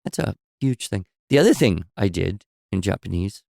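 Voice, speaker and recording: A man co-hosting a podcast, telling stories in a relaxed conversational way and explaining with examples and asides. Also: low-pitched, normal speed, gravelly, dry recording, clean studio sound. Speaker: podcast co-host. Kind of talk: conversational storytelling.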